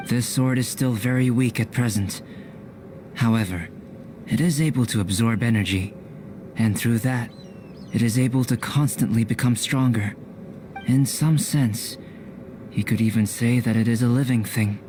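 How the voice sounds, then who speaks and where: Game scholar male actor: young, composed, formal and thoughtful delivery, smooth mid-range voice, noisy ambient bed. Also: mid-range voice, normal speed, long pauses, natural voice. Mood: composed, intrigued. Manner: precise, formal, contemplative. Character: calm young male alchemist scholar, measured and analytical. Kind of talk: scripted game cutscene acting.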